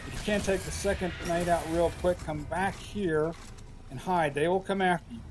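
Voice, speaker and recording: A man giving casual commentary over a game walkthrough, his voice a little hoarse and scratchy, thinking aloud with frequent filler pauses. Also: high voice. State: slightly hoarse, scratchy throat. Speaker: gameplay commentator. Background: game music and gunfire.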